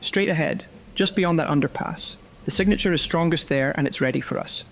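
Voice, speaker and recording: Gravelly, clipped creature guide voiced by a man. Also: on the high side, fairly quick, fairly bright tone, slight background noise. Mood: focused. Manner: clipped, matter-of-fact announcements. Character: Bite, terse guiding creature with mechanical paw. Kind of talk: scripted audio drama dialogue.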